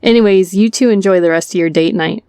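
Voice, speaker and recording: A woman playing a chummy, efficient, slyly knowing waitress in an audio roleplay, brisk and polite. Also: medium pitch, mellow, clean tone, dry recording, studio quality, close to an everyday voice.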